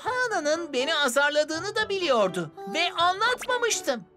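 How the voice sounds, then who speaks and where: Lively, very high-voiced young bus. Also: female voice, unhurried, exaggerated voice. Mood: puzzled. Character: lively, excitable young boy bus, childlike. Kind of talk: dubbed children's cartoon acting. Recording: loud children's music behind.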